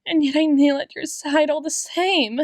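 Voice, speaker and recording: A woman playing an obsessive, menacingly tender doctor in an ASMR roleplay, speaking in a deliberate, theatrical monologue with drawn-out words. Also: high-pitched, dry recording, clean studio sound. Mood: hurt, agitated. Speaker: audio roleplay performer.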